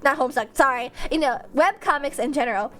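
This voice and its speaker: A woman chatting casually on a livestream in a rambling, animated, slangy way, with a stuffed-up nose. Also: high-pitched, fast talker. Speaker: streamer, VTuber. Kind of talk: casual livestream chat with viewers.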